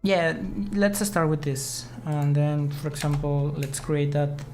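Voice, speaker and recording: A woman from Mexico whose first language is Spanish, speaking English with a Mexican Spanish accent as she live-codes and thinks aloud, halting as she works. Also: sits low, a little mellow, faint music behind. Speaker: live-coding streamer. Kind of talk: live coding tutorial. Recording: keyboard typing in background.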